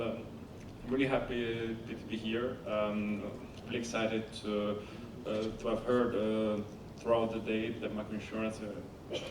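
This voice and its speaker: Italian-accented man in an echoey hall. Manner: halting, many fillers and restarts. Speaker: panelist, company founder. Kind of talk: conference panel answer. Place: conference hall panel. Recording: echoey hall, distant mic, rumble.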